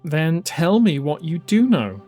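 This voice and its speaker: A man's voice: on the high side, very animated, a little slow, warm tone, soft background music, pitched above the performer's own voice. A man voicing a young woman, a pleading and stubborn fighter, in an emphatic dramatised audiobook style. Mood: pleading, frustrated.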